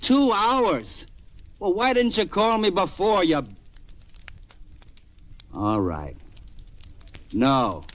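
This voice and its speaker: An actor playing a tough, wisecracking police detective in an old radio crime drama, with a clipped, sardonic delivery. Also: male voice, sits high, big pitch swings, extremely slow, long pauses, exaggerated voice. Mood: exasperated. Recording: old radio recording with music.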